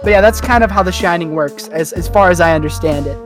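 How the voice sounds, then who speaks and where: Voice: mid-range voice, quick pace, over music. A person chatting casually on a group podcast, telling things in a slangy, joking way. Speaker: podcast panelist.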